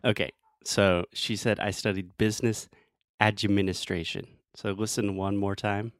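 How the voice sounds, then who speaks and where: Southern us guy hosting an english lesson podcast, lowish voice, slows down to show sounds. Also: little pitch movement, no room sound, studio quality. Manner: clear, patient, slows down to demonstrate sounds. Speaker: English teacher and podcast host. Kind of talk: explaining pronunciation.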